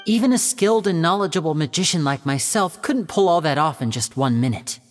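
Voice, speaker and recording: An actor playing a polite, earnest young male stage magician in a video game, speaking in a courteous, measured and gently theatrical way. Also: on the high side, animated, soft background music, lightly acted. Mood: troubled, earnest.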